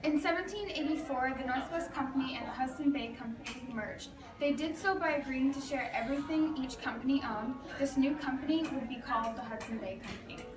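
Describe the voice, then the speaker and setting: A woman reading scripted answers in the role of a historical man, in a plain, steady way. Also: sits high, a little fast, hardly any pauses, natural voice. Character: grown man, a historical Canadian figure. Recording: echoey room, far from the mic, with background noise.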